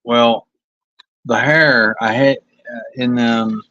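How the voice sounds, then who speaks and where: Man, Kentucky accent, comic artist, livestream chat, hesitant and self-critical, mid-pitched voice, dull lo-fi phone sound. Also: steady tone, slow, sharp tone, dry recording. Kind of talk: casual livestream commentary.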